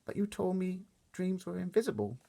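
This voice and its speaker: A man's voice: sits high, sing-song, normal speed, creaky, warm tone, voice pushed up, heavily acted. A man voicing a curious, anxious little girl in a storybook, with short, earnest questions and remarks. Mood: questioning.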